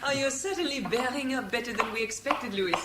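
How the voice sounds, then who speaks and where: An actress playing a sociable, effusive wife and dinner hostess who gently scolds, in a 1970s British radio drama. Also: female voice, a little high, brisk, over a noisy crowd, lightly acted. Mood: gracious. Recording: noisy, roomy old radio recording.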